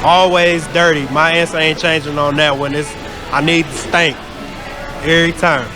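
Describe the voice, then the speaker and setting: A man from St. Louis, a music producer, answering interview questions in a relaxed, reflective way. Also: fairly high pitch, normal speed, crowd noise. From St. Louis, USA. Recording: music playing behind.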